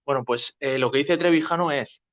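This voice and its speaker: Higher-pitched man with a Spanish accent from Spain. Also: brisk, a bit bright, muffled recording. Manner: didactic, checking understanding with tags. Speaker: host. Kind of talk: casual explanatory talk.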